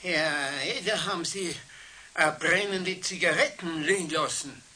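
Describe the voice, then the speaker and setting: An actor playing a helpful, nosy neighbour in a 1970s radio play, speaking hesitantly and folksily with a Bavarian accent. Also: male voice, on the high side, measured pace, sharp tone, slightly rough, exaggerated voice. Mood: relieved, apologetic. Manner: hesitant, folksy, tagging sentences with questions. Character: helpful, talkative, nosy neighbour man, married. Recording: faintly hissy 1970s radio recording.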